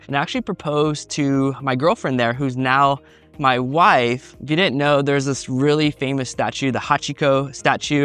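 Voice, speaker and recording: A man with a smooth, resonant voice at a middle pitch. He is in his thirties and talks through his own language-learning story in a relaxed, rambling monologue, with plenty of fillers. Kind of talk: reflective personal monologue.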